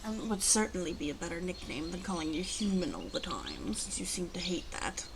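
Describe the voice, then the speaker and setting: A woman playing a protective, commanding female werewolf in an ASMR roleplay, talking slowly and deliberately to the listener in a soft, guarded way. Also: on the low side, very animated, noisy background. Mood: calm, conceding.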